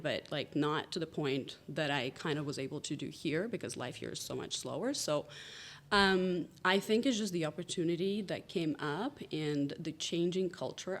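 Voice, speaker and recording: Woman in her thirties, animated guest telling her story. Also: low voice, moderate pace, slight background noise. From Canada. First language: Russian. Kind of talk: casual podcast interview.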